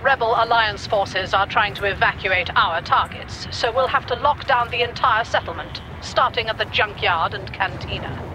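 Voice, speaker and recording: An actor, a man, playing a cold, efficient imperial officer in a video game, briefing in a crisp, businesslike, ruthless way. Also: sits high, moderate pace, hardly any pauses, close to an everyday voice. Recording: very noisy battle ambience behind.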